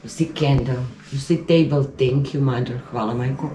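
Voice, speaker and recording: A shop worker whose first language is Croatian explains things in simple, broken English, speaking softly and quietly with a Croatian accent. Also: female voice, a bass voice, moderate pace, a little hiss or hum, poor audio. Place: souvenir shop near a city gate shrine.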